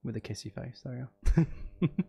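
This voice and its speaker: A man's voice: medium pitch, normal speed, gravelly, warm tone, slight background noise. A man chatting casually as he hosts a live game stream, playfully mock-dramatic and self-deprecating with his viewers. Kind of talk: casual chatty gaming livestream talk with viewers.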